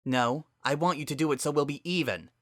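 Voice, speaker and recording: A man's voice: fairly high pitch, brisk, dry recording, studio quality, pitched above the performer's own voice, close to an everyday voice. A man voicing a stubborn, pleading young female pony in a dramatic story reading, with an agitated edge. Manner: sharp-edged, agitated.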